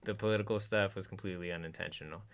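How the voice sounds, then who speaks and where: A man hosting a casual live feedback session, excitable and slangy as he reviews listener tracks. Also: deep voice, racing. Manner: slangy and excitable, says dude and bro a lot. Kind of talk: casual livestream chat. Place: music school in Los Angeles.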